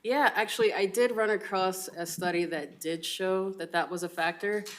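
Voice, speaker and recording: A young woman resident physician giving a medical lecture and answering audience questions with measured, thoughtful replies full of ums and uhs. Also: mid-range voice, fairly even intonation. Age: young adult.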